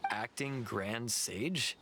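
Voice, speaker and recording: A man's voice: medium pitch, very slow, bright, forceful tone, slight background noise. A man voicing a reserved but curious scholar in a video game.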